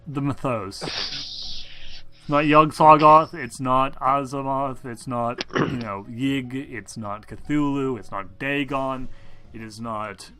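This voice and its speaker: A man's voice: mid-range voice, slow, bright, forceful tone. A man narrating a tabletop game as game master, informal and a little hesitant as he goes. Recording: music behind the voice.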